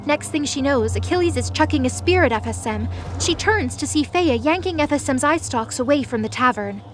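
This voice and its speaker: Female narrator for scripted audio drama; slightly high voice, music under the read. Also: lively intonation, a little fast.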